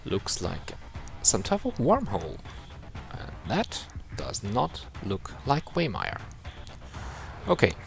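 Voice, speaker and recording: A man giving casual, halting commentary over gameplay, repeating himself. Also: fairly low pitch, wildly swooping pitch, vocal fry, soft background music.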